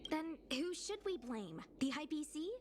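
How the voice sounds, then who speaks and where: An actress playing an eager, bubbly young female knight in a video game, energetic and plainspoken. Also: high voice, a little slow, slight background noise. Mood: spirited. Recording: loud music behind the voice.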